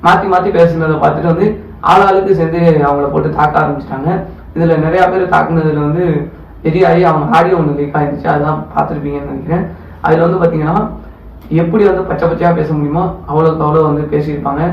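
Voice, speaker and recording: A man delivers casual, critical commentary at a quick clip. His voice is gravelly and fairly high. The recording is distant and echoey. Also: steady tone. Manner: colloquial, conversational, critical. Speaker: commentary video host. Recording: distant, echoey, dull with faint noise.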